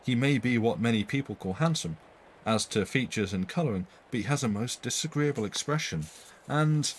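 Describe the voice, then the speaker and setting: A man's voice: mid-range voice, animated, moderate pace, a little hiss or hum, close to an everyday voice. A man voicing an earnest, contradictory young woman, a governess in a storybook tale. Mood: contradictory, emphatic.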